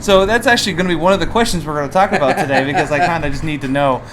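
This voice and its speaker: Male podcast host, slightly high voice, loose and jokey, thinks out loud as he chats. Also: rapid-fire, slight background noise. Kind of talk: casual podcast chat.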